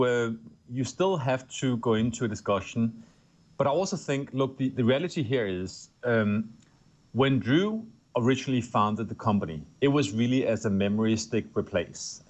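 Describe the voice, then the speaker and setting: A middle-aged man with a Danish accent, a tech executive answering interview questions earnestly, often restarting his phrases. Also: mid-range voice. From Denmark. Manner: earnest, with frequent restarts and repeated words. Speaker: tech company executive guest.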